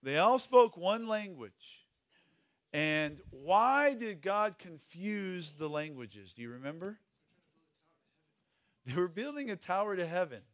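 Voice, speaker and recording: Male teacher, fairly high voice, informal and emphatic, question-led delivery, very dry recording. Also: sing-song, takes it slowly, pauses often, a bit bright, no room sound. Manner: conversational, asks questions, emphatic key words. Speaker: Bible teacher leading a group study.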